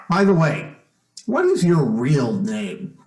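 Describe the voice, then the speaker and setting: An actor playing a shrewd, outwardly genial stepfather who probes with quiet menace in an old-time radio suspense drama. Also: fairly high pitch, huge pitch swings, reverberant, heavily acted. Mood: genial, probing. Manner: deliberate, probing, hesitant phrasing.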